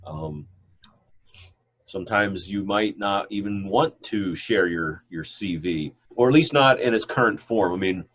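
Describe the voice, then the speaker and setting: A man's voice: lowish voice, measured pace, a bit bright, slight background noise. A middle-aged man who says he is congested, teaching a biology class over a video call in a loose, rambling way with many fillers. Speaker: biology professor. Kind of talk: online class lecture with questions. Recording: dull lo-fi video call audio.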